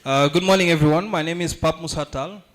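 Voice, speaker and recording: A man introducing himself at a meeting, speaking hesitantly with filler pauses into a shared microphone in a conference room. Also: on the high side, a bit bright. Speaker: delegation head.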